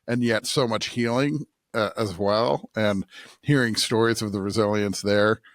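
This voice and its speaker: A man's voice: lowish voice, steady tone, unhurried, no echo, studio quality. A man co-hosting a church podcast in casual, conversational talk. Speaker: podcast co-host and pastor. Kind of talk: casual chat.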